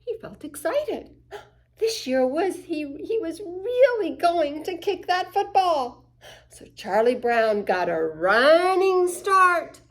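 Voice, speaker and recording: A woman reading a picture book aloud to children, expressive, with gasps and little asides. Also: high voice. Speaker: children's story reader.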